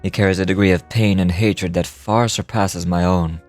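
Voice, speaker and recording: A man acting as a brooding, world-weary knight in a fantasy video game. His deep voice is solemn and formal, each phrase measured, with soft music underneath. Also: fairly even intonation, lightly acted. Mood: solemn, burdened. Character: brooding, weary male knight of a fallen kingdom.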